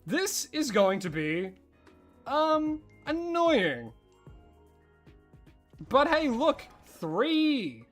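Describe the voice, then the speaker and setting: An Australian streamer chatting casually while gaming, rambling and excitable, breaking into high squeals of surprise. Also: extremely slow, pauses often, soft background music.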